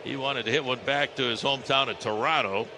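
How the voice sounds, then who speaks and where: A man's voice: mid-range voice, steady tone, brisk. An American man doing live baseball play-by-play on the radio, describing the action as an announcer. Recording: clearly noisy live ballpark radio broadcast.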